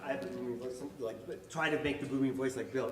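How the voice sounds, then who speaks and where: A man with a slightly high voice talks quickly and restarts his sentences as he asks for follow-up. He is off the mic in an echoey hall with a long reverb tail. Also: distant mic, a crowd in the background. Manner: rushed, restarts often. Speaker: local group member questioning a speaker. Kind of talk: public meeting Q&A. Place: school meeting hall.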